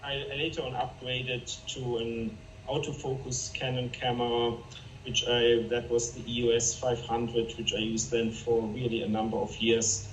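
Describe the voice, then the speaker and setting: A man with a German accent, a guest photographer answering interview questions in an earnest, halting way with many fillers. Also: medium pitch, level tone, a little hiss or hum. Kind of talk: video call interview. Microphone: video call. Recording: roomy, distant video call audio.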